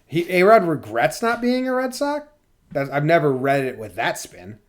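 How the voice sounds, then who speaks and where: A man's voice: on the high side, wildly swooping pitch, moderate pace. American man chatting casually about sports. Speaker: podcast host.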